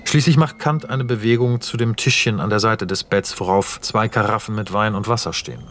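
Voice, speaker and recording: Sober, low-voiced male radio-play narrator. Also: a little fast, fairly bright tone. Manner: sober, precise, matter-of-fact. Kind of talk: scripted narration of stage directions in a radio play. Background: music.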